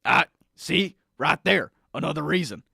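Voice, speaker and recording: A man putting on a loud conspiracy-ranting newsman. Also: fairly high pitch, animated, unhurried, vocal fry, husky, no room sound, clean studio sound, heavily acted. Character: brash male conspiracy-ranting news correspondent and supplement salesman. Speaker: comedy radio character. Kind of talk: improvised radio comedy bit.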